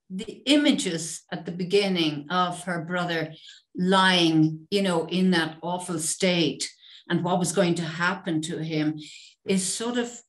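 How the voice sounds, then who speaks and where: A woman in her sixties, a nurse, speaking reflectively in a panel discussion on a video call. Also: lowish voice. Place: room at home. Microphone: computer mic on a video call.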